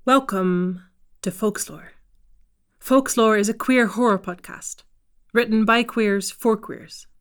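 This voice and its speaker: A woman announcing a fiction podcast in measured, scripted narration. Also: mid-range voice, smooth.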